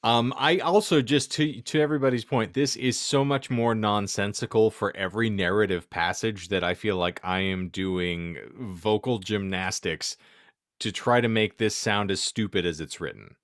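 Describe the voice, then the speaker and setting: A man's voice: lowish voice, moderate pace, no room sound, studio quality. A man co-hosting a casual comedy podcast, wordy, with theatrical mock exasperation. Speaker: podcast co-host and narrator.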